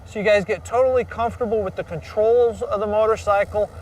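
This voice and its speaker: A man's voice: high-pitched, fairly even intonation, brisk, darkish tone, a little hiss or hum. A man working as a motorcycle instructor, giving casual, practical directions to a group. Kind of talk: instructing riders. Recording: distant mic outdoors with music.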